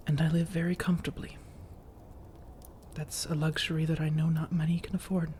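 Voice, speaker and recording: An elegant vampire woman, acted by a woman, speaks in a mellow, soft, breathy voice that often sinks to a whisper. Her phrasing is measured, formal and coaxing, in the manner of an ASMR roleplay. A faint noisy ambience sits behind her. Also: deep voice, close to an everyday voice. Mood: calm, persuasive. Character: elegant, persuasive, long-lived female vampire seeking a roommate.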